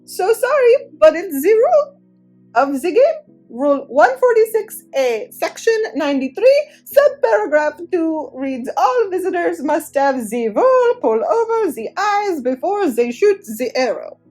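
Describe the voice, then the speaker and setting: Woman putting on an officious, high-pitched Viking judge. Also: big pitch swings, measured pace, a little mellow, soft background music, heavily acted, rough recording. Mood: smug, officious. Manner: officious, theatrical rule-quoting. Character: scheming male island Viking judge, officious.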